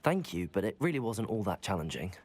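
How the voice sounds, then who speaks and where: Lively, polite schoolboy. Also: male voice, on the low side, fast talker. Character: polite, self-assured teenage new student. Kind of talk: video game dialogue acting.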